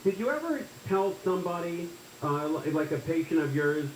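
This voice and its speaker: A man's voice: on the high side, big pitch swings, normal speed, roomy sound, a little hiss or hum, low-quality recording. A man in his forties hosting a casual talk radio show, rambling and folksy, often tagging his sentences with "right?". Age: 40s.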